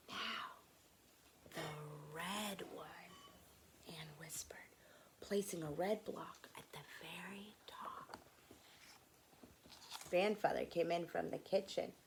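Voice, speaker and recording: A woman reading a children's picture book aloud expressively, with little asides and hushed moments. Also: mid-range voice, background noise. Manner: animated, drops into hushed near-whispers.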